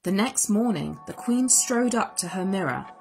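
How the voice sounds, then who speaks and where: A woman narrating a children's story aloud in an expressive storytelling style. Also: medium pitch, moderate pace, creaky, low-quality recording. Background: music.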